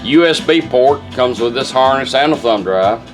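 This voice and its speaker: A man's voice: mid-range voice, expressive, normal speed, over music. A man talking casually and plainly as he demonstrates a product step by step, in a folksy, instructional way. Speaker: car radio restorer demonstrating a product.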